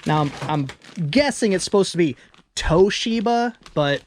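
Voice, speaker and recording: A reseller from Northern Michigan with a Northern Michigan accent, chatting casually on a vlog in a rambling way, correcting themselves with quick asides. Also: mid-range voice, animated, slight background noise. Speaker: eBay reseller vlogger.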